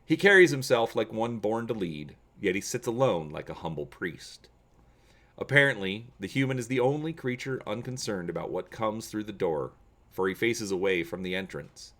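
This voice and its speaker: Low-voiced man reading an adventure story dramatically. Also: animated, normal speed, fairly bright tone. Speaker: host of a gaming channel. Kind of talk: dramatic audiobook-style reading of an adventure gamebook.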